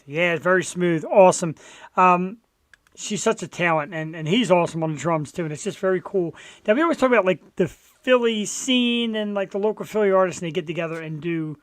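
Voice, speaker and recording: A man co-hosting a casual live talk show, chatty and quick to laugh. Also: sits high, fairly bright tone, no echo. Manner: chatty, laughs often, hypes the guest. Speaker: co-host.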